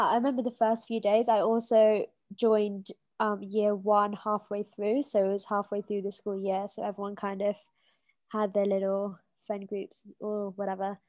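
A woman's voice: medium pitch, level tone, measured pace, a little mellow, dry recording. A young woman from Kenya with a British accent, thinking aloud as a podcast guest. Her talk is hesitant and full of fillers and restarts, recorded close and dry. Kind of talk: casual conversation.

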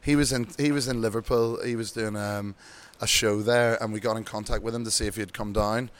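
A man with an Irish accent chatting casually in an interview, relaxed and rambling with many ums and restarts. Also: on the low side, a little fast. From Ireland. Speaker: bingo show host, guest.

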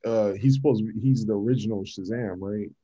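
Host, male, low mellow voice, halting with fillers, lo-fi recording. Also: a little hiss or hum. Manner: halting, many fillers and restarts. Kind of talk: casual chat.

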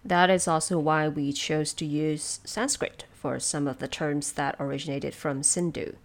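A woman hosting production notes, explaining things in a measured, semi-scripted and lightly wry way. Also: low-pitched, steady tone. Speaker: host of production notes for an audio drama.

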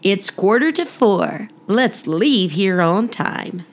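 A woman voicing a brisk, good-humoured storybook dad who rallies his family with emphatic, deliberate announcements. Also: mid-range voice, big pitch swings. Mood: brisk, rallying.

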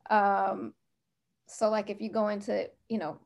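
A woman's voice: medium pitch, fairly even intonation, a little slow, vocal fry, darkish tone. A middle-aged American woman from the West Coast chatting as a podcast guest, informally telling anecdotes with conversational asides. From United States, West Coast. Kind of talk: casual anecdotal chat.

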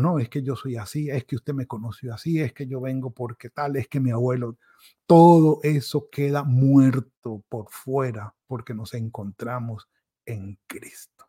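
A male pastor preaching a devotional sermon, who pauses and restarts often and mimics people's excuses in quick quoted asides. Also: medium pitch, mellow, slightly rough. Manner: pauses and restarts, self-corrects.

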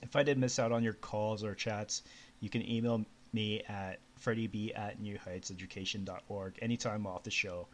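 A man's voice: on the low side, fairly even intonation, normal speed, a little hiss or hum. A man who grew up in Canada, hosting a live educational show from his home computer in a casual, chatty way with small laughs and fillers. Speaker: podcast host. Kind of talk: casual live radio chat.

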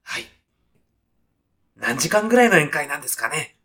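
A man's voice: a little high, very animated, moderate pace, bright, forceful tone, husky, full character voice. A man playing a timid, straitlaced salaryman in a comic radio sketch, speaking politely, hesitantly and with reserve. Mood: uneasy. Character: timid, straitlaced salaryman newly arrived in heaven.

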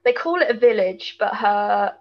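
A woman's voice: mid-range voice, expressive, moderate pace, lo-fi sound. A British woman co-hosting a podcast, bantering casually in a chatty, informal and teasing way. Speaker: podcast co-host. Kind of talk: casual banter about a TV episode.

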